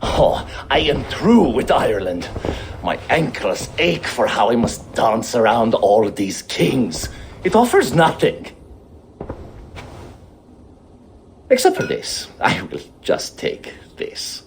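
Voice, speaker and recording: An actor playing a sly, cowardly Norse thief, wheedling in a theatrical manner. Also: male voice, medium pitch, big pitch swings, vocal fry, a little hiss or hum, exaggerated voice. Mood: weary, sly. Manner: theatrical, slow, swooping, stammering pleas.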